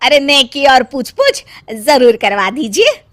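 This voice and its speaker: A woman voicing a bossy, gullible older mother-in-law in an animated family story. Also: high voice, slow, heavily acted. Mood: eager.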